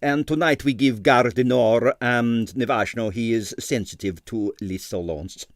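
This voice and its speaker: A man voicing an excitable, imperious ballet impresario, exclamatory and theatrical, in broken English for a storybook reading. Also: mid-range voice, a little slow, no echo, studio quality, close to an everyday voice. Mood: explaining, conceding.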